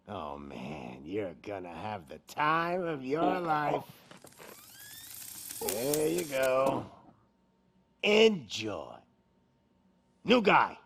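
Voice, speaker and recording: A man's voice: medium pitch, fairly bright tone, exaggerated voice. A man playing a cocky, menacing gang boss in a video game, with a taunting showman's delivery. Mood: sadistic glee, then taunting sales pitch. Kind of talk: video game villain acting.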